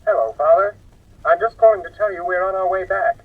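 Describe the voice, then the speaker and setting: A man's voice: high voice, fairly quick, husky. A man playing a curt, dismissive young son in a radio drama, speaking over a videophone. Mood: cool, offhand. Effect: videophone filter. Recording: tinny band-limited videophone line.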